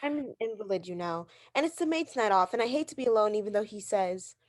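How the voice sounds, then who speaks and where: A woman's voice: mid-range voice, animated, fast, natural voice. A woman playing a neurotic, imperious, anxious bedridden wife in a radio drama, insistent and fretful on the phone. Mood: plaintive. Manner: insistent, fretful, stammering when challenged. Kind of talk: 1940s radio drama acting.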